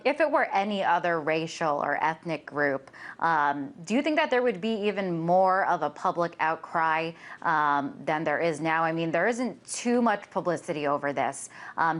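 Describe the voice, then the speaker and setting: A woman's voice: lowish voice, fairly bright tone. A woman hosting a broadcast news interview, sometimes hesitant as she puts her questions. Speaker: news show host interviewer.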